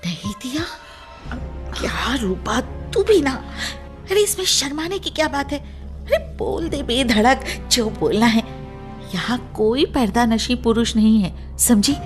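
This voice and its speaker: A bold, teasing young friend in a radio drama. She speaks in a high, unhurried voice, coaxing and playful, with music faintly behind her. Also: lively intonation. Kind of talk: radio play acting in Hindi.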